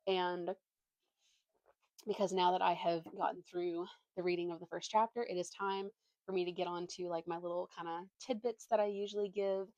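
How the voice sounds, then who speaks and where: A young woman talks in a casual vlog style with a voice a little on the low side. She is opinionated and slangy, with a self-deprecating streak. The sound is dry, with little room to it. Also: darkish tone, dry recording. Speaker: book vlogger.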